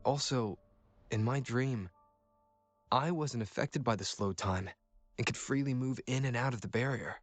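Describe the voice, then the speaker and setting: A man voicing a calm, earnest young hero in a video game, speaking in a measured, reflective way. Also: mid-range voice, faint music behind, close to an everyday voice. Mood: thoughtful, earnest.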